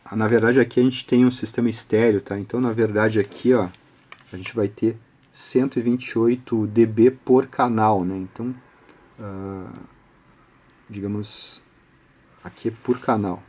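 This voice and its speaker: A man's voice: on the low side, steady tone, normal speed, warm tone. A man with a Brazilian Portuguese accent giving an informal step-by-step technical tutorial, conversational and explanatory, often ending phrases with small tag questions. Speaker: audio electronics instructor, channel host.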